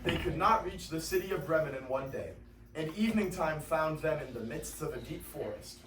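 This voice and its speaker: A man's voice: on the high side, expressive, fairly quick, a bit bright. A teenage boy narrating a scripted story as part of a classroom reader's theater. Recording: echoey classroom, distant mic, faint noise.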